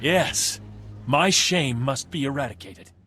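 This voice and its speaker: An actor playing a remorseful, determined warrior in a video game, solemn and intense. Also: male voice, medium pitch, lively intonation, slow, sharp tone, soft background music. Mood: anguished, resolute. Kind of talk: cinematic game voice acting.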